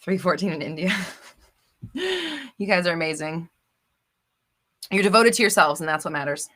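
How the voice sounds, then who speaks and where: Host type: upbeat woman, animated pitch, bright and chatty, reads comments live. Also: moderate pace. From United States. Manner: bubbly, laughs often, reads out viewer comments. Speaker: livestream host. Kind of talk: casual live chat reading comments.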